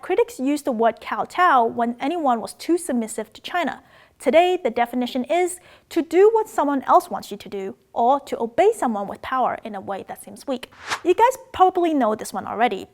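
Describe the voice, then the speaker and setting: A woman from Macau, raised in Australia, hosting a scripted educational video about words and languages in an upbeat, playful way. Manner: upbeat, playful, with excited jumps in pitch.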